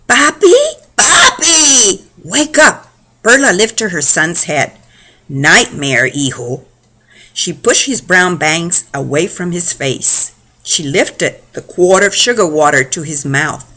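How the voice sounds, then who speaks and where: A woman reading a dramatized story aloud in a slow, expressive manner. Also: fairly low pitch.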